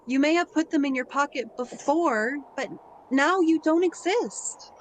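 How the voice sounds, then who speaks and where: A woman playing a gentle, naive guardian angel in a radio play, explaining things patiently and plainly. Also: high-pitched, a little slow, slight background noise, poor audio. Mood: patient, grave. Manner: patient, matter-of-fact explaining. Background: music.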